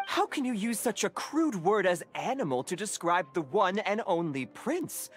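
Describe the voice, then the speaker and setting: A pompous young disciple in a game cutscene, with a widely swinging pitch. He speaks formally and grandly, full of reverence for his feline master, over background music. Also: very animated, moderate pace. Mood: reverent. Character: pompous, devoted male card-game disciple translating for a cat. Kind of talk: video game cutscene acting.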